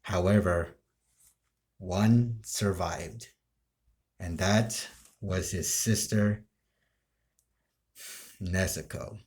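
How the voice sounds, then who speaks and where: Slow, low-voiced man rambling through a story. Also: a little mellow. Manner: halting, restarting explanations in short broken phrases. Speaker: amateur video creator telling his role-play ideas.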